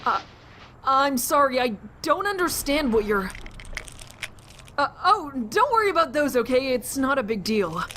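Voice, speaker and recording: A woman's voice: high-pitched, very animated, a little slow, pushed and bright, slight background noise, full character voice. A woman voicing a timid, anxious, polite teenage boy in an audio drama, hesitant and apologetic. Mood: nervous.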